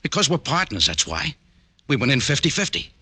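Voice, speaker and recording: An actor playing a bitter, resentful working-class factory partner in an old radio detective drama, blunt and aggrieved. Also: male voice, mid-range voice, sing-song, a little fast, bright, forceful tone, no room sound, full character voice. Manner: aggrieved, blunt, ungrammatical working-man speech. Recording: husky-sounding old radio broadcast.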